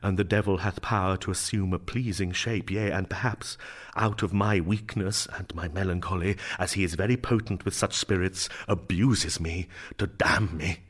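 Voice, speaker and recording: A man playing a brooding, grieving young prince in a Shakespearean drama, with a theatrical, emphatic delivery that moves between hushed and declaiming. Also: low-pitched, moderate pace, hardly any pauses. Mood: calculating.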